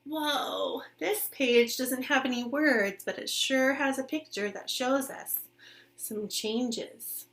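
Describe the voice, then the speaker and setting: A woman reading a picture book aloud to young children like a teacher, expressively, pausing to explain simply and ask questions. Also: sits high, fairly bright tone, a little hiss or hum.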